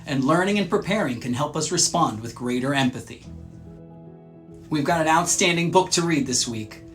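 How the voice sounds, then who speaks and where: Guy doing a scripted kids story read-aloud, measured and clear, soft guitar music behind him. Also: on the high side, expressive. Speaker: children's read-aloud show host.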